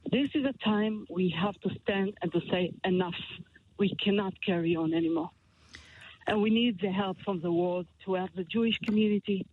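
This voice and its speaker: A woman's voice: mid-range voice, narrow, tinny audio, a little hiss or hum. A woman from Israel with an Israeli accent, a native Hebrew speaker, calling a radio show and speaking urgently and emotionally in broken English. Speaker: caller. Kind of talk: phone-in call.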